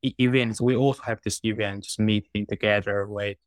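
A young man from China with Chinese as his first language, answering interview questions haltingly, often restarting words. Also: lowish voice, fairly even intonation, dry recording. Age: 20s. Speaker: guest.

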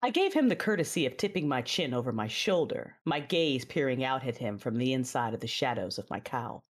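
A very low, husky Sith lady. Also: animated, fairly quick. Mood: brooding, sensual. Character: proud dark Sith lady, commanding, passionate, wounded by betrayal. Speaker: narrator and lead character. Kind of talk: dramatic first-person audio drama narration. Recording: music under the voice. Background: music.

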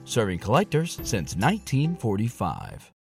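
Animated guy reading an ad, prominent music behind. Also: on the low side, normal speed, gravelly. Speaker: advert announcer. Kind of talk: scripted commercial read.

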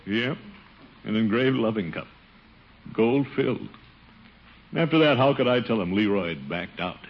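A man's voice: fairly low pitch, lively intonation, moderate pace. An actor playing a flustered, blustery middle-aged uncle and committee chairman in a theatrical old radio comedy. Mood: resigned. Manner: theatrical, exclamatory, chuckling. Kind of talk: 1940s-50s radio situation comedy acting. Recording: noisy, muffled old radio recording.

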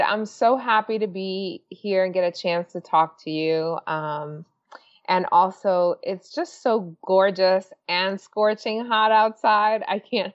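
Upbeat Venezuelan-born woman on a dull line. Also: medium pitch. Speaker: podcast guest. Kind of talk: casual interview.

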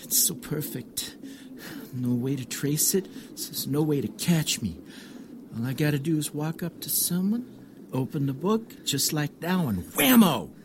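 An actor playing a bitter, gloating younger brother and would-be killer in an audio drama, with streetwise, aggrieved speech. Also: male voice, a little high, lively intonation, measured pace, background noise. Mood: smug, scheming.

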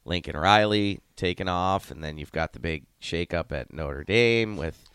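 A man's voice: deep voice, unhurried, a bit bright, clean tone, no room sound. A middle-aged man from Ohio, a head coach co-hosting a radio show, chatting casually and rambling with many restarts.

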